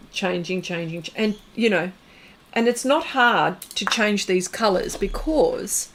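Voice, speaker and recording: A woman's voice: mid-range voice, fairly bright tone, slight background noise. A woman hosting an art livestream, chatting casually and rambling as she works, often laughing. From Australia. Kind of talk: casual chat while demonstrating pastel art.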